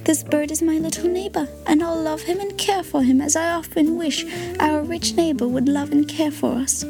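Female reader voicing a gentle, cheerful poor little girl, very high and soft, storybook style. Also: moderate pace, gravelly, over music. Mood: earnest.

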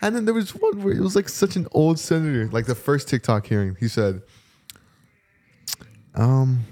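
Casual slangy man with a mellow voice. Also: medium pitch, moderate pace. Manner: animated storytelling, acting out quoted exchanges. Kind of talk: casual chat.

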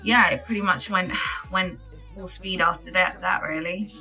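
A woman's voice: on the low side, steady tone, normal speed, sharp tone, soft background music, rough recording. A young woman, a singer chatting casually as an interview guest, with lots of fillers and laughs. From England. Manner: chatty, laughs often, many fillers and restarts.

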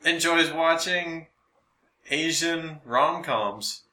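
A man chatting casually as a podcast co-host, telling stories in an animated way and acting out bits of dialogue. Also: fairly high pitch, extremely slow. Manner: animated storyteller, quotes people in dialogue. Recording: roomy, echoing room sound.

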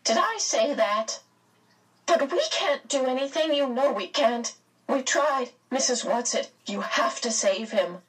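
A woman voicing an anguished, impatient young girl in a storybook, with shrill, pleading delivery. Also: slow, distant mic, rough recording. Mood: impatient despair.

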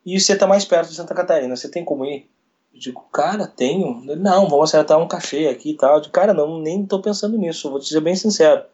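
A radio sports journalist tells his story in an interview. His voice sits a bit high and swings widely as he hurries through the events, acting out what people said. The recording sounds dull and lo-fi. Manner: fast, lively retelling with quoted dialogue.

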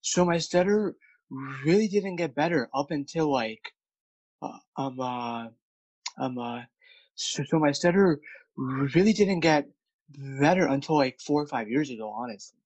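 A man's voice: fairly high pitch, measured pace, darkish tone, no echo, rough recording. A man who stutters, with repeated words and many fillers, speaking candidly as a guest about his work as a speech coach. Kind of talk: candid interview conversation.

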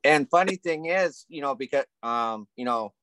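A man co-hosting a casual podcast, telling stories in a relaxed, hesitant way with lots of ums. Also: medium pitch, moderate pace, dry recording. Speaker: podcast co-host.

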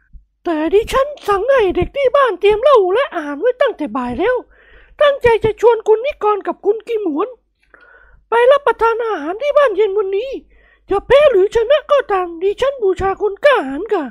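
Plump middle-aged matron, storybook comedy: very high, effusive, coy, flirtatious. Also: female voice, animated, brisk, no room sound. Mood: insistent, gracious. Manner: polite, effusive, coy feminine speech. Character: plump, gushing middle-aged society lady, flirtatious and insistent.

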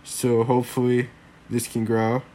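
Young guy rambling about sports on his podcast, kinda flat monotone, voice a bit off from a cold. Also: mid-range voice, measured pace, slight background noise. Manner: rambling, lots of "like" and "um", restarts. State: getting over a cold. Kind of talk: casual solo podcast chat.

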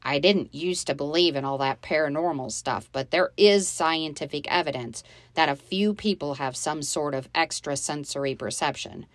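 A woman's voice: low voice, moderate pace, hardly any pauses, no room sound, close to an everyday voice. A skeptical young boy from a storybook, read by a woman narrator. He talks in a reasoning, thoughtful way, doubtful of anything uncanny.

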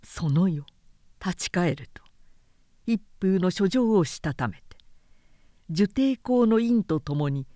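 A woman narrating a literary work as a scripted reading, in a measured, expressive storytelling manner. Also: fairly low pitch, dry recording.

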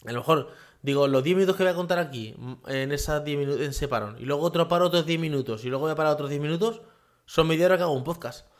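A man's voice: on the high side, quick pace. A man hosting a casual solo podcast from his home office, rambling with many fillers and tag questions. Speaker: podcast host.

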